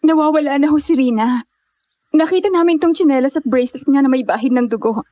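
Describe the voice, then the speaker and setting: Woman as high-voiced anxious young hiker woman. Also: fast, warm tone, dry recording, close to an everyday voice. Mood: worried, urgent. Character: anxious young female hiker, fretting over missing friend. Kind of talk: audio drama acting.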